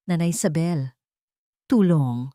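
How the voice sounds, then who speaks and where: A poor, suffering young Filipino mother played by a woman storyteller speaks in a low, earnest voice. She is tender and determined, in radio drama style, with a dry sound. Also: lively intonation, slow, warm tone, no echo. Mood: desperate pleading.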